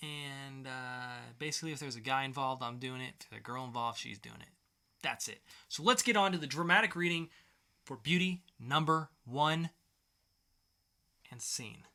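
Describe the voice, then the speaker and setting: A man's voice: mid-range voice, normal speed, bright, forceful tone. A man co-hosting a casual comics podcast with a theatrically sarcastic, mock-grandiose delivery. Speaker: podcast co-host. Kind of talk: casual comic review chat.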